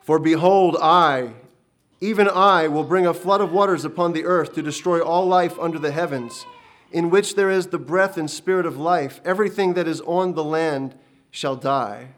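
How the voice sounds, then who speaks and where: A man's voice: fairly high pitch, normal speed, a bit bright, voice pushed up, close to an everyday voice. A man voicing a stern, commanding divine voice that proclaims in solemn archaic speech. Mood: booming. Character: booming ancient divine voice, male, commanding and stern. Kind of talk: dramatic storytelling reading.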